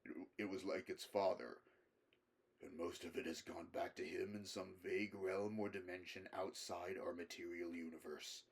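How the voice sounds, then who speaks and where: Grave learned man, male reader in character, very deep, flat intonation, solemn careful delivery, horror storybook. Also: moderate pace, creaky, breathy edge, heavily acted. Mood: grave, measured.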